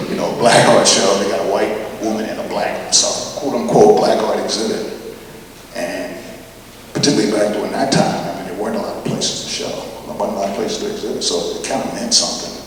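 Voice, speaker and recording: Older man, panel artist, low voice, loose rambling delivery; boomy hall with long reverb. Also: fairly even intonation, fairly quick. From Chicago. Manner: rambling storyteller, many fillers and restarts. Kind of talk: panel discussion answer. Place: large gallery hall with audience. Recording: echoey hall, distant mic, noisy.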